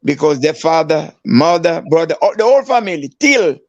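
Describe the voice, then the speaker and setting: An older man from Haiti shares football memories in a slow, halting way, circling back and restarting his sentences. His voice sits fairly high for a man, and the recording is dry and dull, a lo-fi capture with no room sound. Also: big pitch swings, a little mellow, no echo. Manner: halting, many restarts and fillers. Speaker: guest, former footballer. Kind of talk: storytelling interview.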